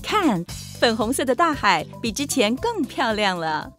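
A woman's voice: on the high side, very animated, unhurried, fairly bright tone. A woman voicing a vain, self-assured mother shark in a children's cartoon, with a Taiwanese Mandarin accent. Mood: proud, insistent. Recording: music behind.

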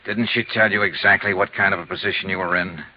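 An actor in a 1950s radio drama playing a no-nonsense insurance investigator with a clipped, businesslike manner. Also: male voice, deep voice, fairly even intonation, fast talker, close to an everyday voice. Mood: firm, insistent. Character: brisk, probing, no-nonsense male insurance investigator. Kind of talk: 1950s radio acting, dialogue and narrated report. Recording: muffled, noisy 1950s radio recording.

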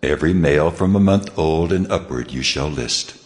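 A man's voice: rumbling low, normal speed. A man playing God in a dramatized scripture reading, speaking with solemn authority. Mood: solemn, commanding. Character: God of Israel, commanding, solemn divine voice. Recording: long cavernous reverb.

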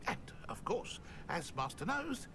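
A cringing little house-elf servant in a video game stammers and calls himself by his own name. His voice sits high and gravelly, leaping all over the place. Game audio with steady noise behind. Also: a little slow, heavily acted. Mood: servile. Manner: stammering, refers to himself in third person. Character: timid servile male house-elf servant.

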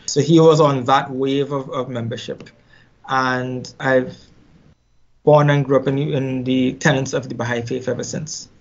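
A middle-aged man from Trinidad and Tobago with a Trinidadian accent, telling his story in a casual interview, unhurried and reflective, often repeating words as he thinks. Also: mid-range voice, fairly even intonation. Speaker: musician guest. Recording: slightly dull lo-fi sound.

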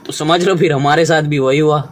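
A man's voice: fairly high pitch, fairly even intonation, a little fast, crowd noise. A man giving casual, wry narration, handing out friendly advice.

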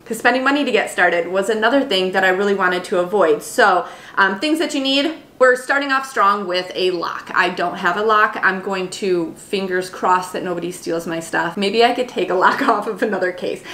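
A woman's voice: medium pitch, a bit bright, a little hiss or hum. A woman in her thirties chatting upbeat and gushing as she shows things around her home for a vlog. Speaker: lifestyle vlogger. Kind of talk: casual sponsored vlog chat. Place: home, dining table then rooms. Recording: echoey room at home.

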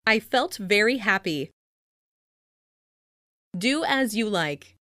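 A woman reads scripted English practice phrases in a clearly enunciated, instructional way. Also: medium pitch, animated, slow, pushed and bright, no room sound, studio quality. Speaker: language lesson narrator.

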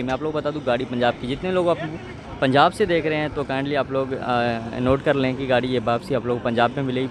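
A man vlogging at an outdoor car market, briskly describing cars and questioning sellers. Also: mid-range voice. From Pakistan. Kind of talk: walk-around car review vlog. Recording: outdoor street noise.

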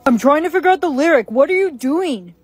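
Woman doing a high voiced flustered young boy, whiny n shouty, touchy, comedy skit. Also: expressive, a little fast, soft background music. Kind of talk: exaggerated comedy skit acting.

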